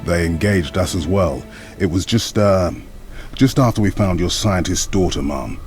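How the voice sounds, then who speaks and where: An actor playing a big, gentle, loyal veteran soldier in a video game, with a plain-spoken, understated way of talking. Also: male voice, deep voice, normal speed, soft background music, close to an everyday voice. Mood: respectful, subdued. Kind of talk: video game cinematic acting.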